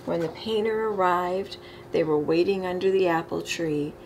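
A middle-aged woman reading a children's picture book aloud with expressive, emphasized storybook delivery. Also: fairly low pitch, normal speed, rough recording. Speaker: storytime reader. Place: living room, indoors. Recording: clear background room noise.

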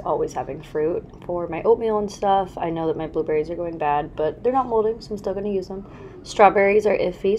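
A woman's voice: lowish voice, a little mellow, slight background noise. A woman in her twenties chatting casually to her camera at home, upbeat and thinking aloud, her voice still recovering from being sick. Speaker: vlogger. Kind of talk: casual vlog chat to camera. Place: apartment bedroom and kitchen, indoors.

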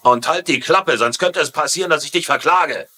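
A man's voice: a little high, normal speed, pushed and bright, slightly rough. An actor playing a hot-headed, defensive father in a dubbed TV mystery, speaking bluntly and irritably. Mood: indignant. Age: middle-aged. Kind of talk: dubbed TV mystery drama acting.

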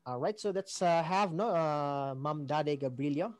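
A woman's voice: low-pitched, fairly even intonation, measured pace, a little mellow. A woman with a Filipino accent hosting a radio program, partly scripted with casual asides.

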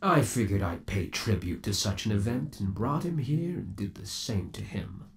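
A man's voice: fairly low pitch, big pitch swings, moderate pace, gravelly, a little hiss or hum. A man playing an undead, obsessive boyfriend trapped in a mechanical suit, sweet-talking and possessive in a theatrical ASMR roleplay. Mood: eerily calm. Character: undead yandere boyfriend trapped in springlock suit, menacingly doting. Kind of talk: ASMR roleplay, scripted monologue to the listener.

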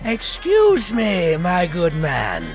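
A man playing a goofy old dwarf cleric who puts on pompous airs while he bluffs. His voice is gravelly and high-pitched. He speaks slowly and swoops all over the place. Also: wildly swooping pitch, soft background music, exaggerated voice.

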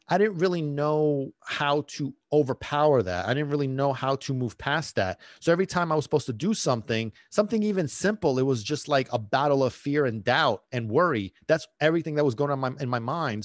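A man's voice: medium pitch, no room sound, clean studio sound. A man, telling his own story on his podcast, rambling with many restarts and fillers. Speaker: podcast host, dog trainer. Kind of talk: casual personal storytelling. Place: small home room. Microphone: studio mic on boom, headphones.

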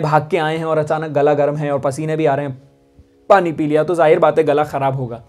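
A young male music teacher sits indoors and explains vocal care to the camera in a slightly high voice. He talks patiently and keeps checking in with his listeners. Soft music plays faintly underneath. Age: young adult. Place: indoor studio with instruments.

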